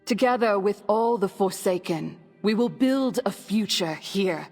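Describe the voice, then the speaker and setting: An actress playing a dignified undead elf woman ranger in a video game cutscene, breathy, formal, with an otherworldly reverb. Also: medium pitch, expressive, darkish tone. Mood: solemn, grateful. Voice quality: breathy, husky. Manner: measured, formal. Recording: long hall-like reverb, faint music.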